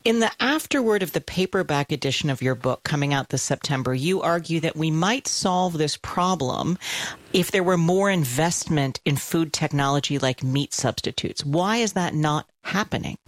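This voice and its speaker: A woman's voice: low-pitched, normal speed, dry recording. Woman radio interviewer, close and dry. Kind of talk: broadcast news interview.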